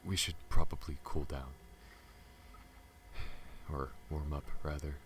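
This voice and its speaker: Man playing a very deep-voiced alien smuggler. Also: steady tone. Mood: soft, caring. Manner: halting, stammers when flustered, earnest. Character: wanted alien smuggler pilot, male, protective and guarded. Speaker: voice actor. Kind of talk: ASMR audio roleplay spoken to the listener. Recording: audible background noise.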